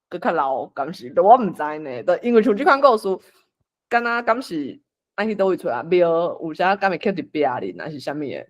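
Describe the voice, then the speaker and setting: A host with a Taiwanese accent, moderating a casual discussion and inviting others to share. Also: mid-range voice.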